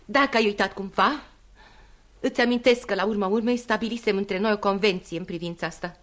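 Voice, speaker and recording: An actress in a radio play playing a proud, wounded mistress in her thirties, speaking in an intimate, halting, wry way. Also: mid-range voice, natural voice. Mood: brittle, dismissive. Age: 30s. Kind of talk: radio theatre acting.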